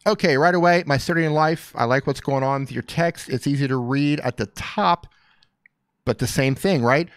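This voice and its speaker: A man's voice: on the high side, normal speed, smooth, no room sound. Upbeat man coaching creators, dry close sound. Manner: upbeat, coaching, reads chat aloud. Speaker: YouTube coach reviewing channels. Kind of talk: live stream Q&A, casual advice.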